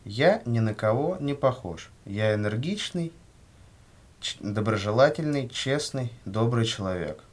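A man reading scripted questions and answers for a language lesson in a measured way. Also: mid-range voice, expressive. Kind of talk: scripted language-lesson dialogue, read aloud.

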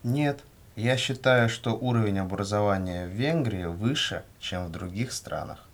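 A man reading scripted language-course answers in a measured, carefully enunciated way. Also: on the low side. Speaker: language lesson reader.

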